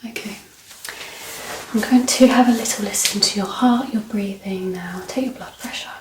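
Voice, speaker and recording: A woman speaking softly and breathily, often whispering, calm and reassuring as she plays a doctor in an ASMR medical exam. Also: mid-range voice, normal speed. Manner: calm, reassuring, gently instructing. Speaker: ASMR performer playing a doctor. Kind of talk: soft-spoken ASMR medical exam roleplay. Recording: roomy echo, distant mic, background noise.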